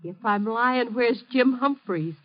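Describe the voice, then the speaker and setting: An actress playing a bitter, vengeful widow with intense, theatrical delivery in a 1950s radio crime drama. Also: female voice, on the high side, slow. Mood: taunting. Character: bitter vengeful widow holding men at gunpoint. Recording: dull vintage radio broadcast recording.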